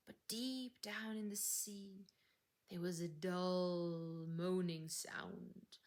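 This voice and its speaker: A woman with a medium-pitched voice tells a fairytale in an expressive storytelling style. She reads very slowly and deliberately, shaping phrases dramatically and letting word endings fall soft and breathy. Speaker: English teacher reading stories.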